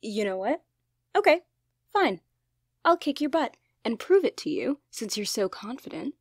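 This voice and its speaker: A woman playing an expressive young genderfluid best friend in a conversational ASMR roleplay. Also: on the high side, measured pace, husky, no room sound, studio quality, exaggerated voice. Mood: playful, teasing. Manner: teasing, casual, expressive, apologetic. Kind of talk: ASMR roleplay, one-sided conversational acting.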